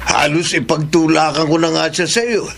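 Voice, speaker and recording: Gravelly, jovial Filipino boozy dad. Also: male voice, on the high side, normal speed, fairly bright tone, slight background noise. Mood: tipsy, delighted. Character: jovial, greedy, hard-drinking Filipino father. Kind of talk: Filipino radio drama acting.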